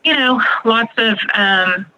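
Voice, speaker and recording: A woman's voice: fairly high pitch, slow, sharp tone, rough recording. A woman in her forties from Texas with an American accent, telling her personal story conversationally as a podcast guest. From Texas, United States. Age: 40s.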